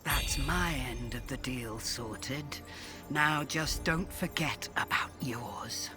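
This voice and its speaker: A woman's voice: a bass voice, slow pace. A woman playing a shrewd, fretful old peasant mother in a video game cutscene, grumbling in an earthy way. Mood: conspiratorial, bargaining. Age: older. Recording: background music under the voice.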